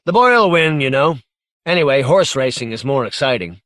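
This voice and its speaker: A man voicing a playful, lively young woman in an audiobook, explaining things in a teasing way. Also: medium pitch, a little fast, no echo, clean studio sound.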